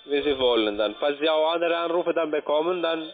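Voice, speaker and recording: A man's voice: a little high, fairly quick. A man with a foreign accent, a non-native German speaker, talking as a call centre agent on a sales call. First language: not German. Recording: tinny phone line with music.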